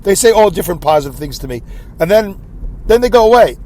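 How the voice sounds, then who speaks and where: A man hosting his own podcast with a scratchy voice, rambling in a self-deprecating way and clearing his throat often. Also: high voice, sing-song, fast, a little mellow. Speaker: podcast host. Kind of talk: solo rambling podcast monologue. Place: inside a car.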